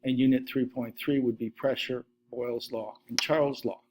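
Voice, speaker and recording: Middle-aged male science teacher, steady methodical lecture read into a desk webcam. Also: mid-range voice, moderate pace, a little hiss or hum. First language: Latvian. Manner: steady list-reading delivery. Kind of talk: recorded slide lesson.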